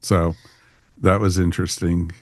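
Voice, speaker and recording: A man's voice: sits low, little pitch movement, a little slow, a crowd in the background. A man casually discussing and reviewing a story as a podcast host, in a conversational way peppered with "you know".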